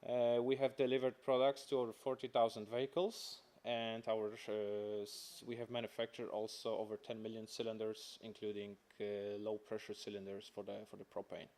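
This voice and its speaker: A man from Poland with a Polish accent giving a corporate conference presentation in halting, non-native English. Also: fairly low pitch, flat delivery, a little mellow. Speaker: company representative presenting.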